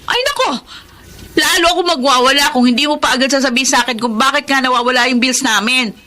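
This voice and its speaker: Filipino radio drama aunt, hot-tempered and blunt, piercing bright voice, quick delivery. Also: female voice, high voice. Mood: exasperated. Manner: scolding, threatening, blunt.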